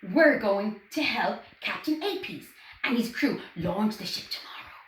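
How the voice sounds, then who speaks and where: A woman voicing a kindly, calm uncle in a children's story, explaining gently and deliberately. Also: mid-range voice, lightly acted. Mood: reassuring. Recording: roomy echo, background noise.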